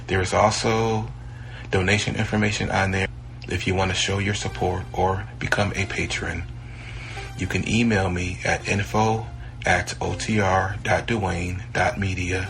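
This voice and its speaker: Low, gravelly male podcast host. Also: flat delivery, measured pace, a bit bright. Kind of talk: scripted podcast sign-off.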